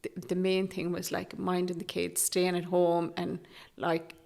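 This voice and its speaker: Irish woman, middle-aged, gravelly, flat delivery, soft and hesitant interview talk. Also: on the low side, a little slow. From Galway, Ireland. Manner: soft-spoken and hesitant, with many fillers and restarts. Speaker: guest, Traveller activist. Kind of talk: candid interview conversation.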